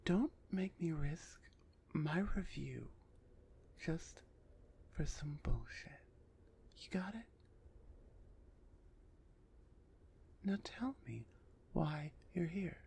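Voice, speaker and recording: A woman voicing a gruff female minotaur executioner in an ASMR roleplay, husky and often half-whispered, impatient and sardonic with her captive. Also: sits low, lively intonation, takes it slowly, pauses often, dark tone, a little hiss or hum, exaggerated voice. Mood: grudging, impatient.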